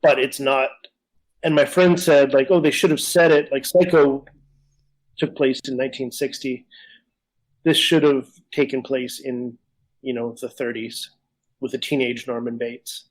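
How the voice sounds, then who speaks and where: A man's voice: medium pitch, steady tone, measured pace, poor audio. Casual, rambling male podcast co-host. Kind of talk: casual conversational discussion.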